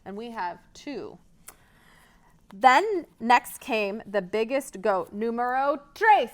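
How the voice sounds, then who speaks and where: A woman reading a children's story aloud as a library storyteller, expressive, pausing to ask and explain. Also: on the high side.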